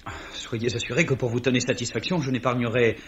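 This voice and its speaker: A man's voice: mid-range voice, fairly even intonation, fast talker, a bit bright, lightly acted. Eager, polite young trainee played by a man. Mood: eager, deferential. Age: young adult. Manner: polite, earnest, formal. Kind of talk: 1950s French radio drama acting. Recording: dull old radio recording.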